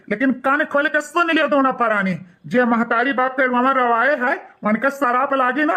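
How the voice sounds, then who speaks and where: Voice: high-pitched, bright, forceful tone. A performer voicing a bitter, outspoken young village man in a cartoon moral story, taunting and reproachful, with an Awadhi accent. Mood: bitter cursing.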